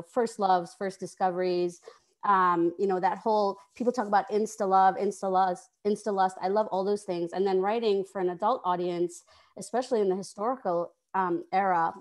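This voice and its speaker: A woman author chatting and laughing in a casual panel talk over a video call from home. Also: medium pitch. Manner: chatty, laughing, many fillers. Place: home room.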